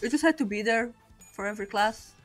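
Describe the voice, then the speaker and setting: A woman's voice: a little high, quick pace, soft background music. A young woman from Poland with a Polish accent, speaking English as a second language and chatting casually while streaming a game, rambling and self-mocking. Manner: rambling, self-mocking, laughs often between thoughts. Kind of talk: casual chat over gameplay.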